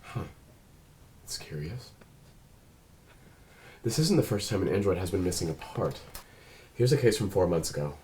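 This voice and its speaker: Guy doing a reserved android detective, really low voice, formal and careful, bit of noise behind. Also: expressive, a little mellow. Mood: pensive. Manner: measured, formal, precise phrasing. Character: earnest, reserved male android police detective partner. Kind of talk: table read of a drama script.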